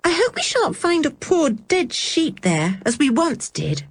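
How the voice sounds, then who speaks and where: A woman voicing a timid, excitable young girl who gushes in a high voice. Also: unhurried, dry recording, full character voice. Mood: anxious. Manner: gushing, breathy and high.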